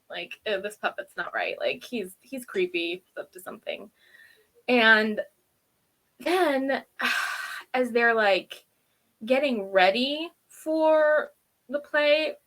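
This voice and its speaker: Female review co-host, higher-pitched and very bright, rambling through a casual recap with frequent giggles. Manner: giggly, rambling, animated storytelling. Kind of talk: casual chatty episode recap.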